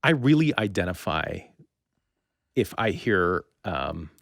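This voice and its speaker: A middle-aged man hosting a casual podcast interview, speaking haltingly as he searches for words. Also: deep voice, big pitch swings, vocal fry, dry recording, clean studio sound. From Windsor-Detroit area. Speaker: podcast host interviewing a guest.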